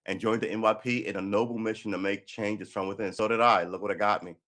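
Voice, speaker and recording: A man's voice: fairly low pitch, fairly even intonation, quick pace, fairly bright tone. A man, reading an article aloud on a live stream and breaking in with sarcastic, profane, heated asides. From New York City. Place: indoor room by a window.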